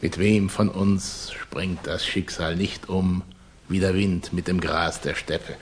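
An actor playing a cunning Russian émigré revolutionary in a German radio play, flattering and condescending, with florid, oily politeness. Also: male voice, deep voice, takes it slowly, slight background noise. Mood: suave, probing.

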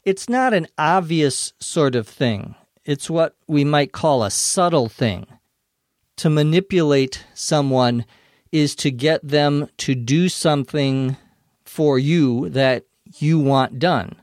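A man's voice: on the high side, slow, dry recording. A man hosting an English lesson podcast. He patiently explains vocabulary and spells words out, with a mildly playful touch, into a close studio microphone. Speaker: English teacher and podcast host.

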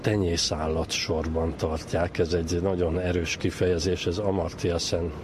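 A man's voice: sits low, level tone. An older man explaining things earnestly and emphatically as an interviewee. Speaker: charity foundation president, interviewee. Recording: clear outdoor traffic noise.

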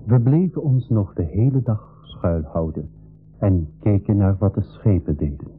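A man with a Flemish accent playing an adventurer who narrates his travels in a measured, storytelling way for a radio play. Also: on the low side, animated, breathy edge, soft background music. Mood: measured, suspenseful. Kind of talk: scripted first-person radio play narration. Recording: muffled, thin old radio recording.

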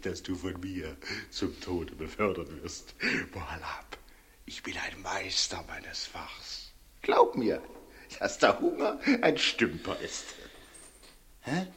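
An actor playing a fawning, morbidly affectionate executioner in a German radio play, chatty and theatrical, muttering and gushing by turns. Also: male voice, low-pitched, big pitch swings, slow, fairly bright tone, full character voice. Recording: noisy old radio recording with clicks.

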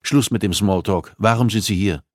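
A man voicing a gruff male police investigator with a curt, deadpan, growling manner. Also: lowish voice, moderate pace, no echo, clean studio sound, close to an everyday voice. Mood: curt, sardonic.

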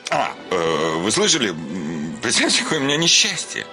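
Stubborn hypochondriac landowner, stammering, gasping, pitch leaping into shrieks, radio comedy, music behind. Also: male voice, wildly swooping pitch, normal speed. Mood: awkward, stubborn. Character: whining hypochondriac landowner suitor, stubborn and touchy. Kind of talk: theatrical radio comedy acting.

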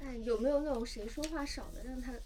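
A woman's voice: high-pitched, fast talker, warm tone, faint music behind, rough recording. A woman chatting casually and giving directions in a group game. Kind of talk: casual chat.

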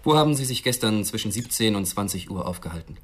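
Insistent detective in a radio play, fast n husky, formal questions. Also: male voice, lowish voice, lively intonation. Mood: insistent, probing. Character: probing police detective inspector, businesslike and insistent. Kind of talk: German radio drama acting.

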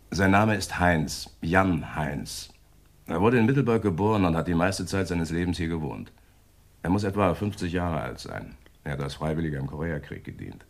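Low-voiced, businesslike police inspector. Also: male voice. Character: decisive local male police inspector, obliging and matter-of-fact. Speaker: actor in a radio crime drama. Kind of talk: German radio play acting.

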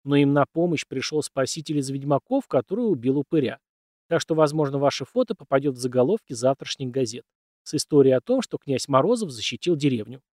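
A man's voice: mid-range voice, expressive, brisk, a little mellow, no echo, clean studio sound, lightly acted. A man voicing a condescending, shrewd young noblewoman's secretary in an audiobook, with a cool matter-of-fact manner. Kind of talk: audiobook character dialogue.

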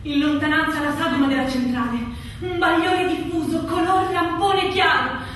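A woman's voice: high voice, normal speed, fairly bright tone. A woman playing a young fireman's wife, devoted, desperate and stubborn, in an intense, insistent style of radio drama acting. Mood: haunted narrating. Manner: insistent, repetitive pleading. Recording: echoey room from far off, faint noise.

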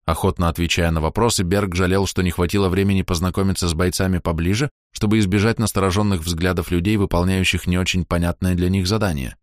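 A man's voice: low voice, steady tone, quick pace, few pauses, dry recording, studio quality. A man reading literary prose aloud as an audiobook narrator, in scripted storytelling style.

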